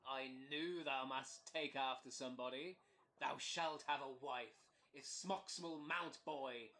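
An actor as a bright-voiced, scheming con artist. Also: medium pitch, slow. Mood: needling, bossy. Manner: mock-courtly, goading. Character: scheming, fast-talking con man flattering gentlemen, bullying merchant. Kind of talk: early modern comedy audio drama.

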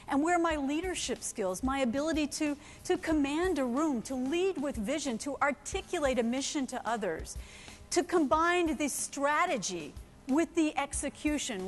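Energetic woman giving a keynote. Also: high-pitched. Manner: energetic, emphatic, gestures a lot. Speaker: keynote speaker. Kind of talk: motivational keynote talk. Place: conference stage. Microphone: headset mic.

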